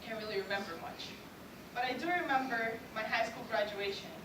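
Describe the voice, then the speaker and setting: A woman's voice: a little high, fairly quick. A woman in her twenties from Cuba, whose first language is Spanish, gives an earnest award speech in English with a Cuban Spanish accent. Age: 20s. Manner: earnest, with light self-deprecating laughs. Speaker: student award speaker. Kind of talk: prepared acceptance speech. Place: large event hall. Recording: echoey hall recorded from far off, noisy.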